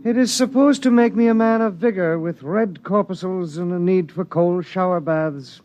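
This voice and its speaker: An actor in a 1950s radio drama playing an idle, fussy gentleman who hates the outdoors and talks in a languid, sardonic way. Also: high voice, moderate pace, lo-fi sound, natural voice.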